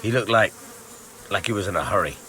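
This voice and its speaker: A man's voice: fairly low pitch, brisk, pushed and bright, a little hiss or hum, poor audio. An actor playing a plain-spoken village tanner in a video game, a guarded father with rustic speech. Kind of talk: video game cutscene acting.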